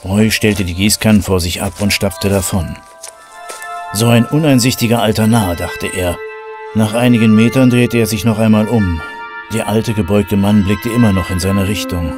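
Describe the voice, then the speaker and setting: A man's voice: on the low side, normal speed, music in the background, close to an everyday voice. A man narrating an eerie audio drama as its scripted storyteller. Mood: ominous.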